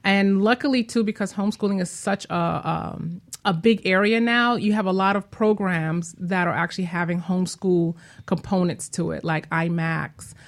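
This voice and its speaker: A woman's voice: mid-range voice, fairly even intonation, normal speed. A woman who is a homeschooling parent speaks as an interview guest, explaining things informally with examples and lists. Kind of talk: casual conversational explanation.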